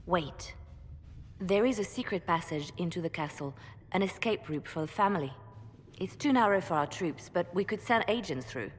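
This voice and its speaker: An actress playing a cool, calculating spymistress, measured and pragmatic, in a fantasy video game. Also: female voice, fairly low pitch, lively intonation, lightly acted. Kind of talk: dramatic video game acting. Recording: reverberant hall with faint noise.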